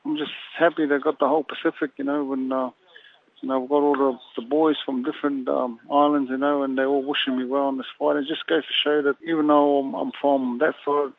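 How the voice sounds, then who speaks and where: A Samoan-born boxer being interviewed, talking haltingly and filling pauses with "you know". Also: male voice, mid-range voice, flat delivery, vocal fry, dry recording. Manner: halting, leans heavily on "you know" fillers. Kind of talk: phone interview. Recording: tinny phone line.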